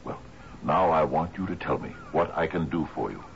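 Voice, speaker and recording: An actor playing a troubled, grieving sea captain in a 1970s radio drama, speaking formally and haltingly. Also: male voice, a bass voice. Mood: tender, solemn. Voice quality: gravelly. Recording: muffled, noisy old radio broadcast.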